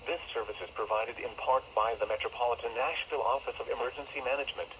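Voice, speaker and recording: A text-to-speech man's voice reads a weather forecast and alert test in clipped, measured phrases. It plays through a dull, lo-fi radio speaker and is caught on a phone. Loud static hiss sits under every word. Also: medium pitch. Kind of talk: automated forecast and test announcement. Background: loud radio noise.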